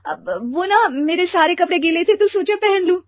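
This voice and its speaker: Woman acting a brazen, stingy young sister-in-law, high-pitched. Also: lively intonation, moderate pace, no echo, narrow, tinny audio. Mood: evasive. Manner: gushing, self-justifying, making excuses.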